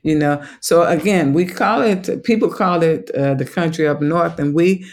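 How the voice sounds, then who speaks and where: A man from Chicago speaks with a Chicago accent that carries a Southern twang. His voice sits a little high, with a gravelly edge. He talks as a guest in an interview, thoughtful and enthusiastic, and laughs easily. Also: normal speed. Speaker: museum education director, guest. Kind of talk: conversational interview.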